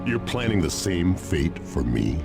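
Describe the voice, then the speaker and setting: An actor playing a composed, imposing crime lord in a video game, with a formal, commanding manner. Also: male voice, sits low, very animated, creaky, loud music behind the voice, exaggerated voice. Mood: controlled, stern. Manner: formal, commanding, measured wording.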